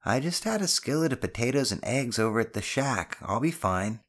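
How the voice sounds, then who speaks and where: Man voicing an awkward, skeptical schoolboy, pitched up. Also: lively intonation, normal speed, fairly bright tone. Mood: exasperated. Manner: casual, hesitant, mildly exasperated. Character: awkward, skeptical schoolboy son humoring his mother. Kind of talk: audiobook character dialogue.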